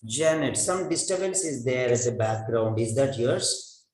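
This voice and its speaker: A man's voice: medium pitch, expressive, darkish tone, reverberant. A man in his fifties from India with an Indian English accent, whose first language is Telugu, coaching an online speaking class and calling on students by name. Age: 50s. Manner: brisk, calls names, repeats questions. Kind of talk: online class practice interview.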